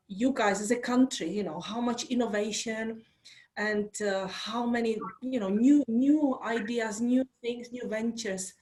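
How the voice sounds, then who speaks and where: A woman's voice: fairly high pitch, darkish tone. A woman with a Czech accent speaks as a guest at an online workshop. She is chatty and enthusiastic, filling her talk with little asides. Her voice comes through a video call. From Czech Republic. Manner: enthusiastic, chatty, many fillers.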